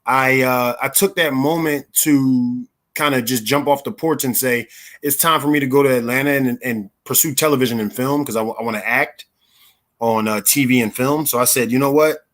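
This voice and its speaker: A man's voice: mid-range voice, fairly even intonation, normal speed, fairly bright tone. American man in his thirties, mid-range voice. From Philadelphia, United States. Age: 30s. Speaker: interview guest, performer. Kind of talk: conversational personal storytelling.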